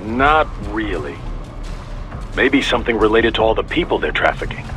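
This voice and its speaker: Wry mission control dude in a game, casual and up and down, bit of music under. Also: male voice, on the low side, very animated, normal speed. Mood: offhand caution. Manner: offhand, wry, conversational. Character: casual, wry male mission handler on comms. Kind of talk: video game briefing dialogue.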